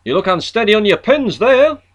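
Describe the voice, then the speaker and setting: A man voicing a big, brash, friendly neighbour in a storybook, loud and matey. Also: high-pitched, big pitch swings, a little fast, voice pushed up. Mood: booming, matey.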